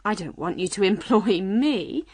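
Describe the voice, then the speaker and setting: A woman's voice: medium pitch, animated, unhurried, dry recording. A woman playing a sensible, polite young storybook girl who questions and argues. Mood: polite, argumentative.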